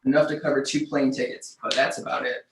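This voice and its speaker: An actor playing an easygoing, pragmatic investigator with a measured, placating manner, in a screenplay table read. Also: male voice, medium pitch, flat delivery, roomy sound, a little hiss or hum, close to an everyday voice. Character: easygoing, pragmatic investigator, the calmer male partner.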